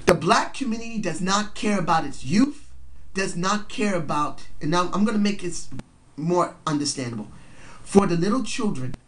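A young man talks straight into his webcam from a cramped bedroom, his voice stuffy and congested from a cold. He sits fairly high in pitch for a man. He lays out his opinions earnestly and circles back to restate them for emphasis. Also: fairly bright tone. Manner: earnest, repeats points for emphasis. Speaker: vlogger. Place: small cluttered bedroom indoors. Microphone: built-in webcam mic.

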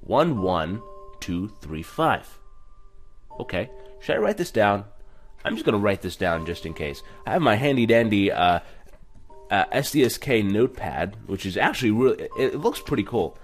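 A man's voice: lowish voice, sing-song, normal speed, soft background music. A man hosting a gaming video in a casual, chatty, self-deprecating way, reading text aloud with stumbles. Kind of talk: casual let's-play commentary with reading aloud.

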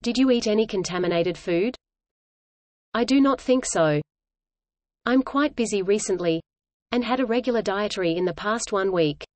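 A woman reading an English lesson dialogue aloud in a measured, even way, repeating each line for learners. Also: mid-range voice, no room sound.

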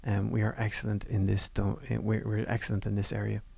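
A man giving a university course presentation over slides in a relaxed, conversational way, with fillers and restarts. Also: lowish voice, brisk, creaky, breathy edge, no echo. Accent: non-Northern Irish.

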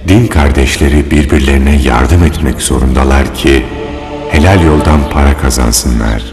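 An actor playing a revered holy man in a religious cartoon, calm, grave and kindly, speaking in a measured way. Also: a bass voice. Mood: solemn advice. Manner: solemn, measured. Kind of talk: dubbed religious cartoon acting. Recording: long reverb, music behind.

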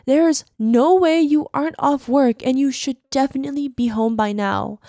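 A woman acts out an anxious, possessive girlfriend leaving voicemails in an ASMR roleplay. Her high female voice is soft-toned and mellow, recorded dry and close. Sugary pleading carries an undercurrent of controlled threat. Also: gravelly, no echo. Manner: sugary pet names, hesitant pleading sliding into controlled threat. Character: anxious, clingy, quietly menacing possessive girlfriend.

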